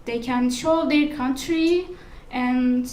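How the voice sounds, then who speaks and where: A woman's voice: sits high, takes it slowly, darkish tone. A Turkish-accented woman answering as a conference panelist, hesitant and searching for her words in English. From Turkey. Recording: echoey room, distant mic, faint noise.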